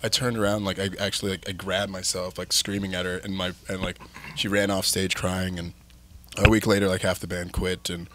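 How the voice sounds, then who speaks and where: A man's voice: low voice, flat delivery, fairly quick, a bit bright, slight background noise. A man in his thirties telling his band's story in a candid, rambling way, filled with "like" and "um". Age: 30s. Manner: rambling, heavy use of "like" and "um", candid. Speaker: band frontman, guest. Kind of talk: casual chat.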